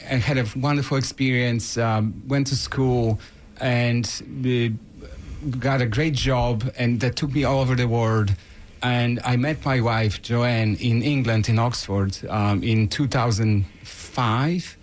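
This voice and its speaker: A man's voice: mid-range voice, fairly even intonation. Polish-accented man, earnest and grateful. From Poland. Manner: earnest, grateful, halting with fillers. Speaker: radio guest. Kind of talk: casual interview talk.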